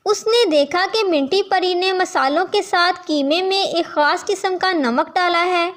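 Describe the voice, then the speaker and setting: A woman's voice: very high voice, measured pace, a bit bright. A woman narrating a scripted children's story.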